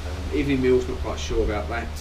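A man's voice: mid-range voice, quick pace. A man in his forties giving a chatty, down-to-earth travel vlog, filmed on an action camera held at arm's length outdoors. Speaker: motorcycle vlogger. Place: outdoors, hotel grounds and ferry deck. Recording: outdoor camera with clear background noise.

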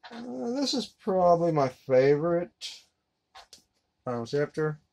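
A man in his thirties giving a relaxed, rambling unboxing commentary while handling discs at his desk. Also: a little high, slow, gravelly. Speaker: collector unboxing a disc set. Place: collectibles room indoors. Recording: noisy home recording.

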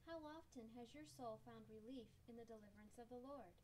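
A woman's voice: on the high side, a little fast, darkish tone. A woman delivering a scripted devotional reading from a church stage, with some noise in the recording. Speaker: reader in church readers theater.

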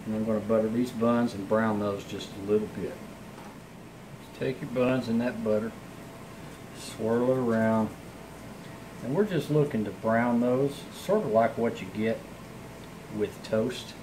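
A man's voice: lowish voice, fairly even intonation, a little slow, pauses often, background noise. Folksy man cooking over sizzle. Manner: folksy, chatty, talks while cooking. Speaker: home cooking show host. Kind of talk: casual cooking demonstration. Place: home kitchen countertop. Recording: sizzling frying pan behind.

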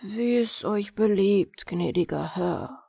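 A woman's voice: mid-range voice, slow, gravelly, warm tone, husky, dry recording, exaggerated voice. A woman voicing a meek, frightened male landowner who pleads for his life in a fairy-tale audiobook. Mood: meek pleading.

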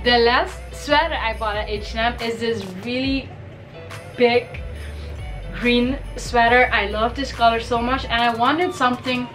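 Young woman vlogger with a cold, gushing and upbeat, bright high-ish voice, filmed by a desk camera in a bedroom. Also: steady tone, a little slow, faint music behind, low-quality recording. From Caribbean. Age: young adult. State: has a cold, voice may crack. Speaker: fashion vlogger. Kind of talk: casual shopping haul vlog. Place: bedroom, indoors.

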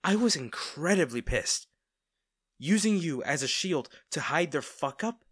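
A man's voice: fairly high pitch, expressive, fairly bright tone, no room sound. A man playing a smitten villain, close and dry. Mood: angry. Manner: teasing, theatrical, pausing, addressing the listener intimately. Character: male villain, teasing, smitten captor of a hero. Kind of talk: audio roleplay acting, intimate one-sided dialogue.

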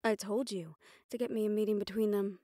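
A woman's voice: mid-range voice, fairly even intonation, a little fast, warm tone, dry recording, clean studio sound, lightly acted. A woman playing a stressed, foul-mouthed, fiercely loyal mafia assistant in an audio roleplay, exasperated and clipped. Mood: angry, threatening. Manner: exasperated, clipped, heavy swearing, sighs. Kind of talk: dramatic audio roleplay, close solo performance.

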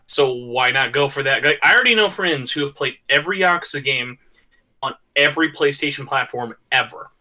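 Loud excitable guy ranting about games, bright forceful voice, faint music behind. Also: mid-range voice, a little fast, low-quality recording. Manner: loud, animated and emphatic. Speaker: podcast guest. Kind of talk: casual gaming chat.

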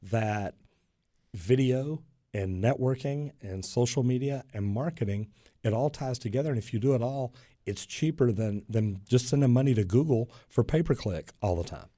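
A man's voice: medium pitch, normal speed, no echo, clean studio sound. A man, telling stories as a plumber guest on a radio talk show. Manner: animated storyteller, gestures while talking. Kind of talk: casual radio chat and storytelling. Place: radio studio, indoors. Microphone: studio boom mic with headphones.

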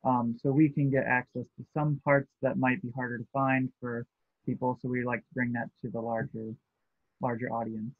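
A young man speaking as a guest on a video call, easygoing and quick to laugh. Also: medium pitch, level tone, breathy edge, no room sound. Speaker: guest, shop co-owner. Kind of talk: casual video call interview. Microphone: shared video call. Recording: tinny phone-like call audio.

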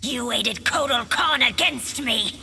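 Feral crazed she-beast for a game, shrill creaky snarls, noisy behind. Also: a little slow, full character voice. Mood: outraged. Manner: fierce, shouted. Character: savage, crazed female half-monster fighter. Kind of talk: video game villain acting. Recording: clear background noise.

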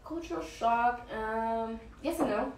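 A woman's voice: fairly high pitch, slow pace, darkish tone. A young woman talking casually as an interview guest, full of fillers and searching for words. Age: young adult. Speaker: guest, pro soccer player. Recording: roomy, distant mic, faint ambience.

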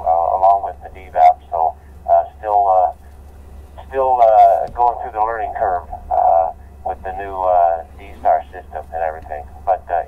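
A deep-voiced man with an American accent talks over a digital amateur radio link. The sound is phone-like and distant. His delivery is casual and halting, in the manner of a radio operator. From United States. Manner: halting, filler-heavy. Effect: digital radio transmission.

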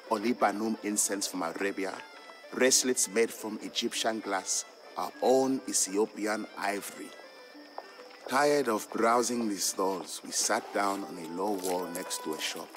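A patient merchant father from Ethiopia, narrating a game's storybook legend. His voice is on the low side and he tells it fondly, in measured phrases. Music plays clearly behind him. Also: a bit bright, poor audio. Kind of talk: scripted storybook narration in a game. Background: background music.

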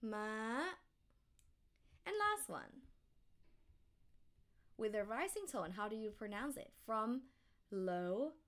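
A woman in her thirties with a Thai accent, teaching an online language lesson, modeling sounds slowly and carefully and humming to show tones. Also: fairly high pitch, big pitch swings, a bit bright.

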